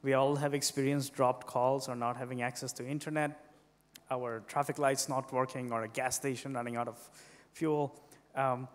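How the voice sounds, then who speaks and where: A man's voice: mid-range voice, flat delivery, normal speed. A young man speaking semi-formally as a panelist on a conference stage. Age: young adult. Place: on stage in a large conference hall. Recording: reverberant conference hall sound.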